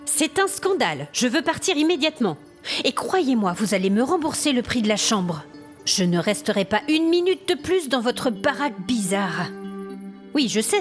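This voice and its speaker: Woman acting a high-voiced, indignant hotel guest. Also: close to an everyday voice. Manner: haughty, aggrieved, demanding. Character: indignant, exasperated female hotel guest complaining about ghosts. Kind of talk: acted audio fiction monologue, one side of conversation.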